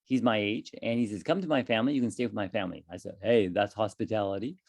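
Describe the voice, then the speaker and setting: A man's voice: on the low side, fast talker, vocal fry, dry recording. A man, an English teacher chatting casually with his student and asking lots of questions. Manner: chatty, repeats and restarts, lively rising questions.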